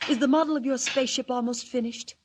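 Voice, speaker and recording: A woman's voice: high-pitched, steady tone, fast talker, natural voice. An actress playing a devoted, anxious alien wife and mother in earnest 1940s radio melodrama. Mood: gentle, concerned. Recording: old 1940 radio recording, faint noise.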